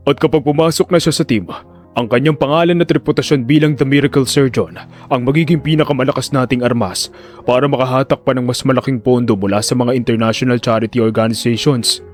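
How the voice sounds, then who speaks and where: A man's voice: medium pitch, fairly even intonation, fast, soft background music, close to an everyday voice. A man voicing a confident, calculating hospital director in his sixties in an audio drama. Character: scheming, self-assured sixty-year-old male hospital director. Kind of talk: Filipino audio drama acting.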